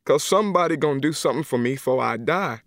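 A man's voice: on the high side, lively intonation, fast, a bit bright, no echo, clean studio sound, voice pushed up. A man reading a story voices a firm, elderly aunt who insists on being obeyed. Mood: insistent.

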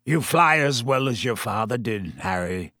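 A man reading an audiobook as a gaunt, embittered fugitive, croaky, harsh and intense. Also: mid-range voice, animated, measured pace, pushed and bright, dry recording, studio quality. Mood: haunted intensity. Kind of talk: audiobook character acting.

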